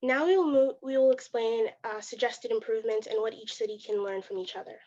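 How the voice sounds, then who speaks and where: Young woman reading slides, voice a bit high, muffled lo-fi call audio, some restarts. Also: fairly quick, darkish tone. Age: young adult. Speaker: youth ambassador presenter. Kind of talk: reading a prepared presentation. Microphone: video call.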